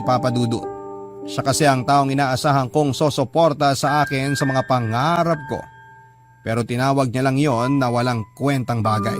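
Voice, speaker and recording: Radio drama narrator: male actor as wounded young student recounting a story, mid-pitched, clear music underneath. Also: natural voice. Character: hurt, resentful young student who loves dancing. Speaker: narrator reading a letter-writer's story.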